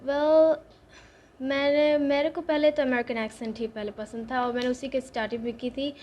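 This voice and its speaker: A teenage girl from Bodh Gaya, a young singer, answering interview questions, switching between Hindi and English and speaking slowly and carefully in English. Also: high-pitched, steady tone, darkish tone, a little hiss or hum. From Bodh Gaya, India.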